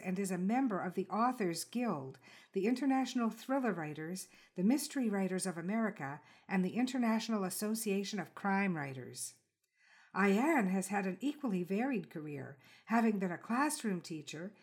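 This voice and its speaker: A woman hosting a podcast, reading a scripted literary introduction and interviewing in a measured, formal, lyrical way. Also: medium pitch. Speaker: podcast host. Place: sunroom home studio.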